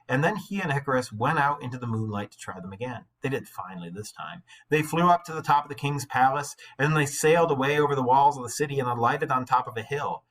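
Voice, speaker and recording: Bright, husky-voiced man reading aloud. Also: medium pitch, poor audio. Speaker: high school English teacher. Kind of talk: expressive story read-aloud with teaching asides.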